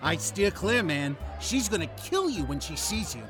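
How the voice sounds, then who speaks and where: A man's voice: high voice, big pitch swings, moderate pace, a crowd in the background. A man playing a jovial, slangy speakeasy doorman in a 1930s noir audio drama. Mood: jovial, teasing. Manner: slangy, chuckling. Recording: music under the voice.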